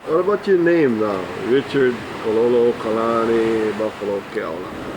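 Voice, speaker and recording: A man asking interview questions in a plain, unhurried way. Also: medium pitch, background noise. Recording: voice under loud guitar music.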